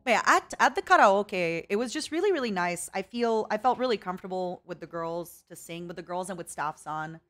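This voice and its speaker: A woman's voice: medium pitch, lively intonation, a little fast, few pauses, a bit bright, soft background music. A young woman streaming a casual chat with viewers, rambling and reading out chat messages. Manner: chatty, rambling, reads chat aloud.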